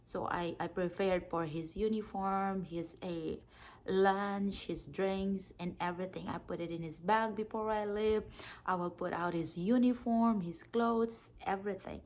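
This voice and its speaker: A woman's voice: medium pitch, steady tone, unhurried, a little mellow, slight background noise. A woman in her thirties from the Philippines, chatting casually to camera in a rambling way, with many fillers and restarts. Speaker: vlogger.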